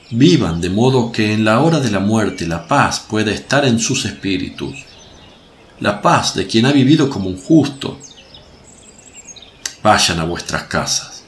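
Man acting compassionate male preacher, solemn, low-pitched, measured, religious radio play, faint birdsong noise. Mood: commanding.

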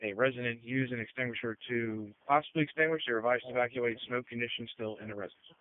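A man's voice: medium pitch, quick pace, a little hiss or hum. A man working as a fire dispatcher, giving clipped, procedural readbacks over two-way radio. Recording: tinny narrowband radio transmission.

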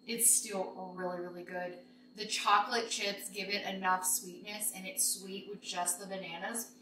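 A woman's voice: mid-range voice, level tone, normal speed, pushed and bright. A woman in her thirties hosting a casual home baking demonstration, chatting informally and directly to viewers. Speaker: home baking vlog host. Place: home kitchen, indoors. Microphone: camera a few feet away. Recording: echoey kitchen, background noise, dish clinks.

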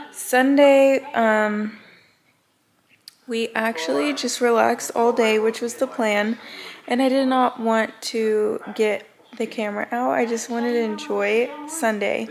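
A woman in her twenties with an American accent, chatting casually to camera at home with a creaky voice. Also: on the high side. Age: 20s. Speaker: vlogger. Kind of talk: casual vlog chat to camera. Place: indoors at home, living room and kitchen.

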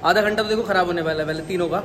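A man's voice: high-pitched, rapid-fire, fairly bright tone. A man in his thirties chatting casually to a phone camera for his vlog, mixing English words into Hindi. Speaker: vlogger. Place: gym, then home, then outside a temple at night. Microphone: phone camera at arm's length. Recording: noisy phone vlog audio.

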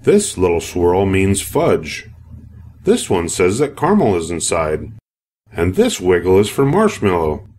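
A man's voice: low voice, huge pitch swings, measured pace, a little mellow, full character voice. A man voicing a factory tour guide in a children's storybook, patiently explaining one item after another.

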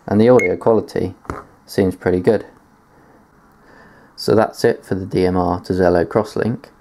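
A man's voice: low-pitched, steady tone, normal speed, mellow, a little hiss or hum, rough recording. A man giving a casual tech demonstration in a matter-of-fact, explanatory way, presenting gear on video indoors. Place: indoors at a desk.

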